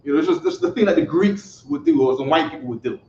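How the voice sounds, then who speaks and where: Man leading a religious class, high voice, emphatic, muffled lo-fi recording. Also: sing-song, fast talker, a little mellow, slight background noise. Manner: emphatic, keeps asking "you see what I'm saying?". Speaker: religious teacher. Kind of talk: live online scripture class. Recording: echoey, distant, muffled.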